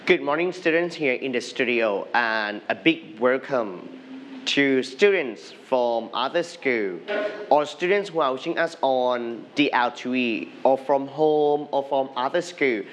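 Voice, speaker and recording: A male English teacher in a studio classroom, slowly leading a televised lesson and having students repeat words after him. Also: medium pitch, lively intonation, a little hiss or hum.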